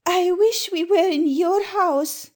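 A man's voice: very high voice, slow, darkish tone, pitched above the performer's own voice. A man voicing a serene, imperious ancient queen in a storybook reading, with a languid, regal manner. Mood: wistful.

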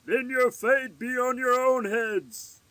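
Woman as a pompous, high-pitched medieval herald. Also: steady tone, takes it slowly, a bit bright, dry recording, poor audio. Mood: stern proclamation. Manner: declamatory, pompous. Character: stern medieval herald, a man shouting formal challenges. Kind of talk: children's storybook reading.

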